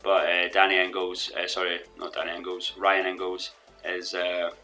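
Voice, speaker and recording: Low bright-toned young man, a bit hoarse. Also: completely flat delivery, moderate pace. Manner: rambling, hesitant, with restarts. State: losing his voice. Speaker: gaming commentator. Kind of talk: let's play commentary. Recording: faint background music.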